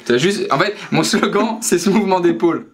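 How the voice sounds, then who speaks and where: Playful man, slightly high voice. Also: lively intonation, a little fast. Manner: playful, mock-formal interviewer. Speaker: host. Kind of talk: casual comedic chat.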